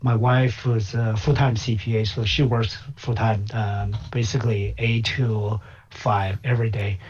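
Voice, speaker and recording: A man's voice: lowish voice, little pitch movement, darkish tone, husky. Hesitant man, slightly dull call audio. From China. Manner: hesitant, many filler pauses. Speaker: guest, physician and investor. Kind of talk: interview conversation.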